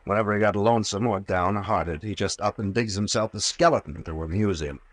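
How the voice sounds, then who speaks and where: An actor playing a brash, sardonic captain and investigator with folksy slang in an old-time radio drama. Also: male voice, on the low side, a little fast, no echo, natural voice. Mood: wry, probing. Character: gruff wisecracking sea captain playing armed investigator.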